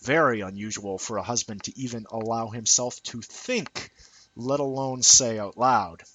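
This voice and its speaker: A man's voice: medium pitch, normal speed, a bit bright, breathy edge, low-quality recording. A man reading a scripted essay aloud as narration. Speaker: amateur reader of an essay.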